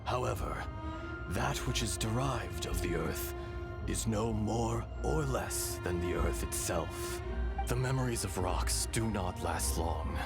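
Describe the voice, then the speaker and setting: The benevolent remnant of an ancient dragon, with a very low voice that speaks slowly and solemnly, often dropping into a hushed whisper. Loud game music runs under him. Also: male voice. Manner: slow, solemn, half-whispered narration. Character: calm male remnant spirit of an ancient dragon. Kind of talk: video game voice acting. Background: loud game score.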